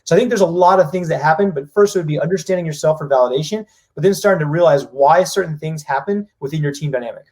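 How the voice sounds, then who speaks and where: Brief: husky male exec, rapid energetic delivery, voices people's reactions. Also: fairly high pitch. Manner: fast, energetic, acts out what people say. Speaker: company president. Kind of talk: business live-stream discussion.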